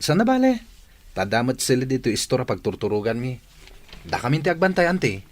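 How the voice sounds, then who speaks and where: A man's voice: medium pitch, sing-song, fairly quick, lightly acted. Obliging young nephew type male actor, radio play, mid-range voice, slightly noisy track. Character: obliging, reassuring young male shop helper. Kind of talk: audio drama acting. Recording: faint background noise, clicks.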